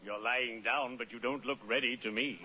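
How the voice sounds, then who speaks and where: An actor playing a genteel, morbidly cheerful undertaker with formal speech, in a 1940s radio comedy style. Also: male voice, mid-range voice, fairly even intonation, normal speed, natural voice. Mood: morbidly genial. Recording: muffled, noisy old radio recording.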